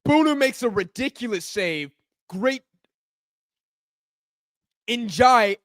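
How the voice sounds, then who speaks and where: A man hosting a live football watch-along, reacting excitedly to every chance and shouting at near misses, his voice going hoarse. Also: extremely high pitch, slow, dry recording, clean studio sound. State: hoarse, losing voice.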